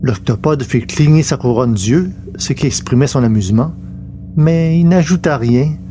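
A man's voice: mid-range voice, warm tone, slight background noise. A man narrating a science fiction serial from a script, as a storyteller.

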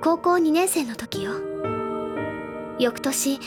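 Gentle bookish teen girl, audio drama, high soft voice, music loud behind her. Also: slow. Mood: gentle, wistful. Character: bookish teenage schoolgirl, gentle storyteller, easily flustered. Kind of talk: Japanese audio drama acting. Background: clear background music.

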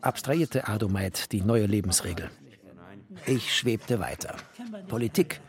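A man's voice: on the low side, measured pace. A man narrating expressively in the first person, literary in style, with a wry, theatrical manner.

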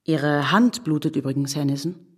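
Actress as a low-pitched, teasing, curious woman. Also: normal speed, very noisy, close to an everyday voice. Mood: dryly suspicious. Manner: probing, dryly playful. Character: curious, teasing, self-assured married woman, Fräulein Schlüter. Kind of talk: naturalistic radio drama acting.

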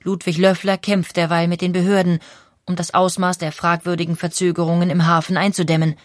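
A woman narrating a historical documentary in a calm, even way. Her voice sits a little low. The studio recording is dry and close. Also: a little fast, dry recording.

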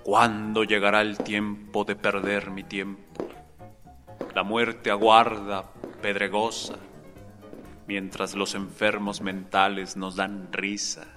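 Un hombre con acento mexicano recita poesía de forma lenta, solemne y medida. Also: male voice, fairly low pitch, monotone, slow pace, sharp tone. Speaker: poetry reader. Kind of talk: slow dramatic poetry reading. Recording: long reverb over music. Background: music.

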